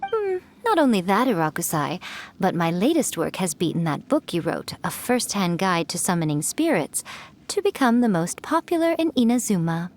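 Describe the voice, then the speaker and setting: Woman playing sly game yokai enchantress, mid pitch, very expressive swings, playful, smug, poised. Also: normal speed, natural voice. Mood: boastful. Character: sly, teasing, centuries-old yokai woman, smug and elegant. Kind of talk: video game voice acting. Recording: clearly audible background noise.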